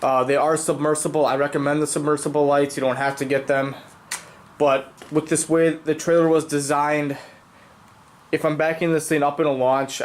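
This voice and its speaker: A man's voice: mid-range voice, a little hiss or hum. A young man with a cold, sounding congested, casually explaining his boat project in a rambling vlog full of fillers. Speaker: vlogger.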